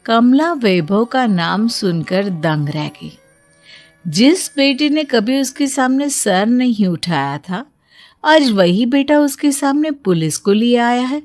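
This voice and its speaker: A woman's voice: on the high side, animated, takes it slowly, a little mellow, dry recording, soft background music. A woman storyteller giving a scripted narration.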